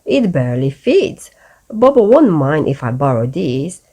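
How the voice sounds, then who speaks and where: Easygoing young tomcat for a picture book, played by a woman, childlike, animated, unhurried. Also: sits low, breathy edge, heavily acted. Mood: upbeat. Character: young male cartoon cat, easygoing and eager.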